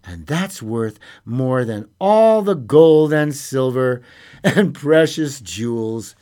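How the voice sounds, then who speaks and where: A man voicing a kind, loyal male friend in a told story, speaking earnestly and slowly. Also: mid-range voice, animated, warm tone. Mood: sincere. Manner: earnest, slow.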